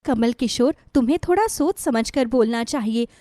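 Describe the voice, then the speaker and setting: A woman plays a poor mother from a bedtime moral tale. She speaks earnestly and chides gently, in a fairly high voice. The sound is close and dry. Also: animated, moderate pace, no room sound, natural voice. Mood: reproving. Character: poor, gentle, weary mother who sells samosas. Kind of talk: moral-story dialogue.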